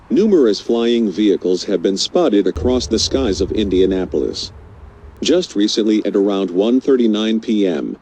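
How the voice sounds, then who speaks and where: A low-pitched male news anchor, acted by a man for an audio drama. He delivers a scripted crisis bulletin in formal, measured phrases, as a radio station's anchor would. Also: dark tone, a little hiss or hum.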